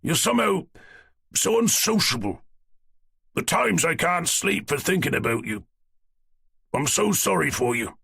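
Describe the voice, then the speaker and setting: A man voicing an emotional, boisterous old male Cossack hunter in an audiobook, loud and sentimental with a raspy voice. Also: fairly high pitch, very animated, measured pace, long pauses, dry recording, studio quality, voice pushed up, heavily acted. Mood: reproachful. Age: older. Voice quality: gravelly, raspy. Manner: loud, lurching, sentimental outbursts. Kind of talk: audiobook character voice.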